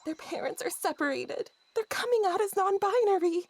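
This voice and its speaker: Childish toy pig, high-voiced, animated, hurt and indignant, dry audio-drama sound. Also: female voice, normal speed, no echo. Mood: tearful, worried.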